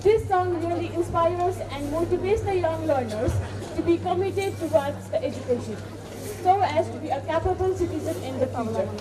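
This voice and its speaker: A female announcer with a very high voice introduces performances at a school event. She is heard from a distance in a noisy, crowded hall. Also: expressive, normal speed, a crowd in the background. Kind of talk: scripted stage announcing.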